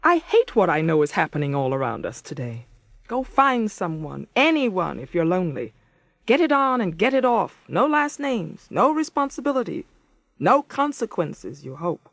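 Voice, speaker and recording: A woman's voice: lowish voice, very animated, moderate pace, no room sound. An actress in a radio drama playing a conflicted, principled married woman of thirty-eight, intimate and emotionally charged. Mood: anguished, indignant. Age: 30s. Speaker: lead actress and narrator. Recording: 1980s radio drama, music behind.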